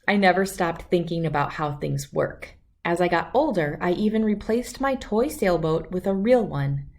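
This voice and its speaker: A woman's voice: on the low side, lively intonation, normal speed, vocal fry, a little mellow. Woman reading a children's book aloud. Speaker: read-aloud storyteller. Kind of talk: expressive children's picture book read-aloud.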